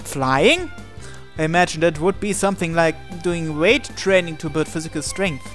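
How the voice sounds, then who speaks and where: A man's voice: on the high side, animated, normal speed, fairly bright tone. A man reading visual novel narration and dialogue aloud as a gaming video host. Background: game music.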